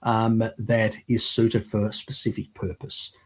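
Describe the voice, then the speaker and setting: A man, explaining things in a rambling, halting way on a video call, with a slight lingering croak from a cold. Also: on the low side, level tone. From Australia. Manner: rambling, halting with fillers and restarts. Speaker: guest, works in mapping. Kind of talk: casual live-stream chat.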